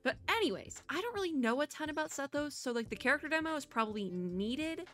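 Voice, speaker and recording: A woman's voice: sits high, lively intonation, quick pace, fairly bright tone, faint music behind. A woman streamer giving a casual, chatty reaction in a bubbly, rambling, self-deprecating way. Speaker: streamer reacting to a game trailer.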